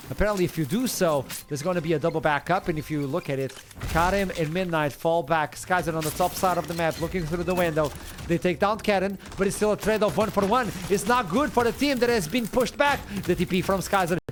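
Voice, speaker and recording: A man from Portugal doing excitable play-by-play commentary on an esports match. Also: sits high, a little hiss or hum. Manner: excitable, builds to shouts at big plays. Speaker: esports caster.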